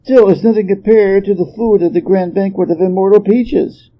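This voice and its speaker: A man's voice: high voice, moderate pace, pitched above the performer's own voice. A man playing a knowing, frank stable assistant in a storybook reading, explaining plainly, on a slightly muffled recording. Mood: wistful. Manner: matter-of-fact, explanatory. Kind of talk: storybook character voice.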